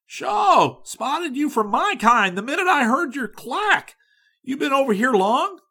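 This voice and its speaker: A man voicing a brash, hearty young American male student who talks loud and slangy with an American accent. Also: high-pitched, big pitch swings, moderate pace, voice pushed up, full character voice. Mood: boisterous. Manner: loud, boisterous, slangy drawl.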